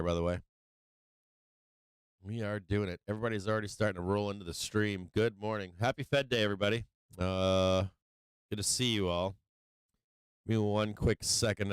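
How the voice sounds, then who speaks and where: Male stream host, low and resonant but slightly worn voice, casual delivery, dry close sound, faint guitar behind. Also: clean tone, no echo. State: voice starting to go. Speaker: livestream show host. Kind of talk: casual livestream chat to viewers.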